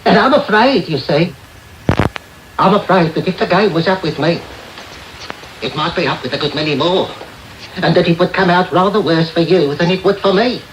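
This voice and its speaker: An actor playing a sly, wheedling old gang master of thieves in a radio drama, oily and menacing. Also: male voice, sits high, moderate pace, pauses often, fairly bright tone. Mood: sly, threatening. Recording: noisy, echoey 1990s medium-wave radio tape.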